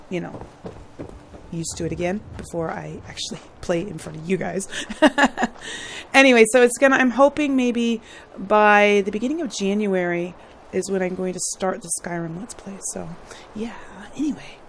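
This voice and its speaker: A woman with a hoarse throat, chatting casually and rambling as she hosts a gaming build video, often laughing and clearing her throat. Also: lowish voice, slight background noise. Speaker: gaming channel host. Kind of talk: casual gameplay commentary.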